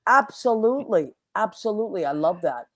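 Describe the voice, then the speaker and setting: A woman hosting a casual online talk show, whose first language is Italian, chatting and giving friendly shout-outs with plenty of fillers. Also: mid-range voice, expressive, a little fast, a loud crowd. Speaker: host. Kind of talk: casual chat.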